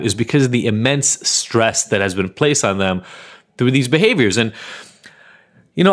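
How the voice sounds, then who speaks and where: A man's voice: on the low side, fairly bright tone. Blunt, emphatic man hosting a podcast. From Israel. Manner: blunt and emphatic, with frequent swearing. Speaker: podcast host. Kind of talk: impassioned solo podcast monologue.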